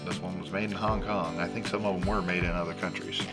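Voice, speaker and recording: A man's voice: low voice, steady tone, fast talker, over loud music. A middle-aged man giving a casual, folksy vlog demonstration as the host of a vintage gadget channel. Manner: folksy, chuckles often.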